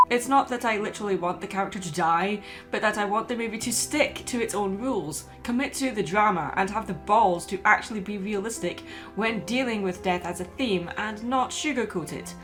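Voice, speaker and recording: A woman's voice: mid-range voice, a bit bright. A woman host reading a scripted video essay in an opinionated, emphatic way. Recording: music playing behind the voice.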